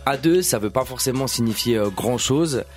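A man's voice: medium pitch, expressive, moderate pace. A man in his thirties from the Paris region, a musician guest talking casually, hesitant with many fillers and jokes. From Paris region, France. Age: 30s. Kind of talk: casual interview chat.

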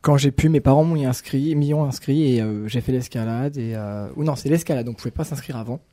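Radio guest, man near forty, mellow voice, dry studio, halting answers. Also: mid-range voice, no room sound. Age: late 30s. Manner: halting, many fillers. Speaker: guest, climbing club president.

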